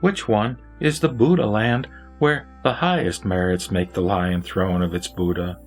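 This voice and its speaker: A man's voice: on the low side, steady tone, unhurried, natural voice. Vimalakirti, a man doing a solemn wise sage. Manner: solemn, earnest teaching. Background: faint music.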